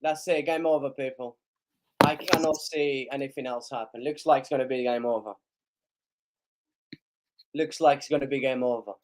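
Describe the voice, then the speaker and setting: A young man hosting a live football reaction stream, excitable and hoarse from losing his voice, urging viewers to like and share. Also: medium pitch, normal speed, long pauses.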